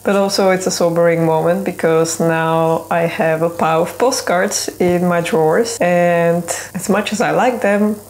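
A woman's voice: lowish voice, steady tone, moderate pace, hardly any pauses, a little mellow, poor audio. Candid woman vlogging from home studio. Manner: chatty and candid, with light laughs. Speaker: illustrator vlogger. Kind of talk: casual studio vlog talk to camera. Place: small home studio room, indoors.

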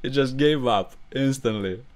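A young man with a Russian accent, a gaming channel host commenting over his gameplay in halting English, with grammar slips. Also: mid-range voice, slight background noise. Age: young adult.

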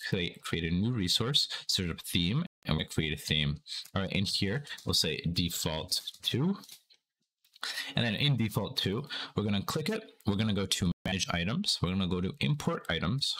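Low-pitched, slightly groggy young man. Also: a bit bright. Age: young adult. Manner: informal, step-by-step explaining. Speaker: tutorial host. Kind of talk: casual screen-recorded software tutorial.

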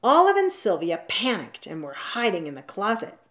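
A woman reading a children's picture book aloud at home, in an expressive, drawn-out storybook manner. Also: mid-range voice. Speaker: storytime reader. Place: indoors at home, seated on a leather couch.

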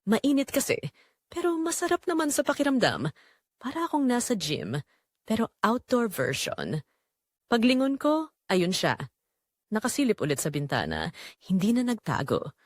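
A woman's voice: a little high, no room sound, studio quality. A woman narrating a scripted first-person audio drama in short, dramatic, suggestive phrases.

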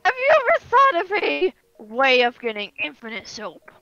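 Woman acting a high, excitable, bossy shadow bunny. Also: moderate pace, dull audio, exaggerated voice. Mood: wheedling. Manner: pushy, loud, dramatic outbursts. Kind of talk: improvised Minecraft roleplay.

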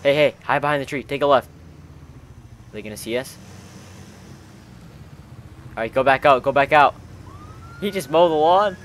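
A man's voice: medium pitch, unhurried, long pauses, a bit bright, slight background noise. A young man commentating on gameplay casually with friends, exclamatory and full of slang like bro and dude. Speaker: gaming video host.

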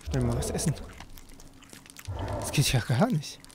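A man's voice: mid-range voice, animated, measured pace, noisy background. A man giving casual gameplay commentary, talking informally and half to himself as he plays. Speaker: let's player, gaming host. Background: game music.

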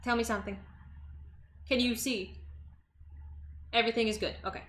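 Young woman streamer, bright slightly high voice, chatty, home kitchen, counter mic. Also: fairly even intonation, moderate pace, slight background noise. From Los Angeles. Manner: chatty, answers chat aloud while chopping food. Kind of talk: casual livestream chat while cooking. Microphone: desk microphone on the counter.

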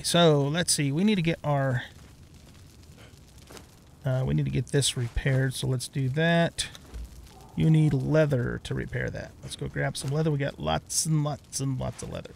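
A man's voice: medium pitch, normal speed, gravelly, darkish tone, slight background noise. A middle-aged man giving casual let's play commentary as a YouTube host, rambling and thinking aloud as he plays.

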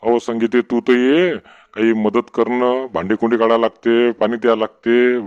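A man's voice: medium pitch, fairly even intonation, normal speed, darkish tone, clean tone, lightly acted. A man voicing a put-upon, grumbling henpecked husband in a comedy cartoon, with a Varhadi Marathi accent. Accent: Varhadi Marathi from Vidarbha. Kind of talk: comedy cartoon dialogue in rural dialect. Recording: dull, muffled sound.

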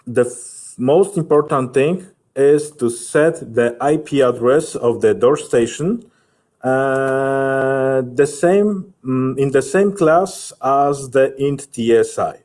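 A man in his thirties with a Polish accent, giving a halting, methodical software tutorial as a technical specialist. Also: medium pitch. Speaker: technical specialist presenting a webinar.

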